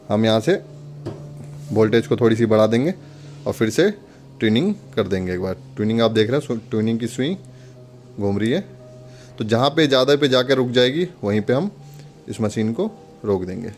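Man, Indian accent, mid-pitched voice, casual instructional demo, step-by-step, faint music behind. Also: expressive, moderate pace, pauses often, a little mellow. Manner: instructional, addresses viewers as friends. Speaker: product demonstrator.

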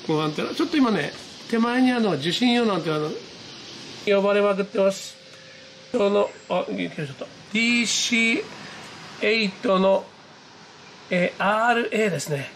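A man with a high-pitched voice narrates his hobby video in a casual, excitable way. He exclaims and talks half to himself. It is recorded on a handheld camera at home, with some noise behind him. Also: normal speed, long pauses, low-quality recording. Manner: exclamatory, talking to himself and the screen. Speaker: amateur radio hobbyist narrating own video. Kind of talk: excited casual running commentary to viewers. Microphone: handheld camera mic, pointed at computer screen.

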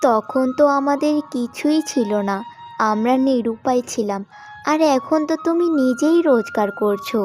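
Casting: woman as soft-hearted forgiving young wife, cartoon tale, high girlish register. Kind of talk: cartoon story acting. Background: background music.

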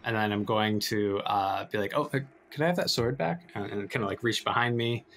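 A man improvising as a player in a tabletop game, halting and casual as he describes his actions. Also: fairly low pitch, a bit bright, slight background noise.

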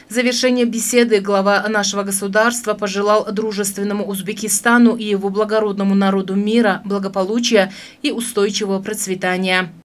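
A woman reading scripted news as an announcer, in a solemn, measured, official manner. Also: medium pitch.

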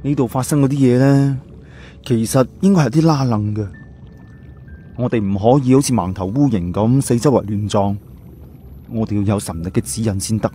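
A man's voice: mid-range voice, normal speed, warm tone, soft background music, lightly acted. A man playing an earnest, thoughtful young adventurer in an audiobook, explaining plans seriously. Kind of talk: audiobook character acting.

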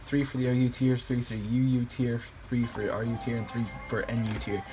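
A slightly raspy young man, a bit sick, commentating his online game battle in a rambling, hesitant way with many ums. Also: medium pitch, little pitch movement, fairly quick, mellow, rough recording. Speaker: gaming YouTuber. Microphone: phone filming a screen. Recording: music playing behind.

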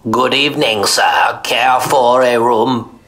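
An actor playing an eerie, vacant double of a motel clerk in a radio drama, speaking in a stiff, halting manner. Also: male voice, medium pitch, steady tone, a bit bright, a little hiss or hum. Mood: blank, eerie.

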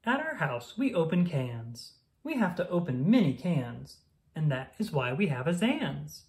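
Low-voiced woman reading rhymes with lively swoops. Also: measured pace. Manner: playful, sing-song rhyming delivery. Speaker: children's book reader. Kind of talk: expressive read-aloud of a rhyming picture book.

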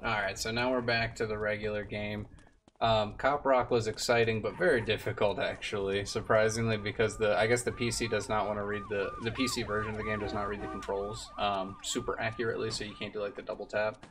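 A man's voice: fairly low pitch, little pitch movement, brisk, background noise. A man in his twenties giving casual gameplay commentary as a streamer, muttering his reactions. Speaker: game streamer. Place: indoor room at home. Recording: loud game music behind voice.

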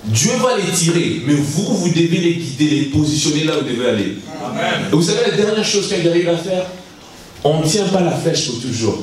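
Congolese guy preaching, kinda high voice, halting restarts, big echoey church hall. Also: sing-song, slight background noise. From Congo (Kinshasa). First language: English is his stronger language. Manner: impassioned, halting French with restarts. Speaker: guest preacher. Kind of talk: sermon to young people. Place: large church hall, indoors. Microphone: handheld mic. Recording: echoey hall, mic heard from a distance.

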